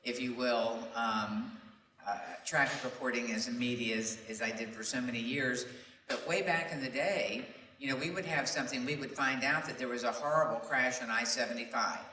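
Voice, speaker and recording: A middle-aged man with a forceful, bright voice talks folksily to a meeting as a seasoned traffic reporter. He is picked up from a distance in an echoey room with faint noise behind him. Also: medium pitch, moderate pace. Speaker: traffic reporting veteran on a panel. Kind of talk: animated anecdotal panel talk. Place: large room at a public meeting. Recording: roomy echo, distant mic, background noise.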